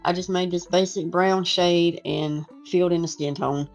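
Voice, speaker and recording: A woman who is losing her voice, hoarse and chatty, talking through a casual painting lesson over soft guitar music. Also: lowish voice, fairly even intonation, normal speed, muffled recording. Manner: casual, chatty, self-deprecating. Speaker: art teacher. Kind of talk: relaxed painting tutorial voice-over.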